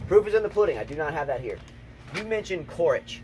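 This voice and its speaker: A man co-hosting a casual tennis chat outdoors, emphatic and repetitive, talking excitedly. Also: on the high side, fast talker. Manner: emphatic, repeats himself, excitable. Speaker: tennis channel co-host, coach. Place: outdoors, windy. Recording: noisy outdoor recording, wind.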